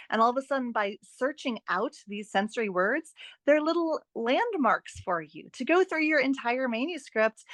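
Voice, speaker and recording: A woman's voice: mid-range voice, a bit bright, dry recording. A woman speaking as a guest expert in a podcast interview, giving practical advice in an emphatic, encouraging way and repeating phrases for stress. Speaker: guest author and fiction editor.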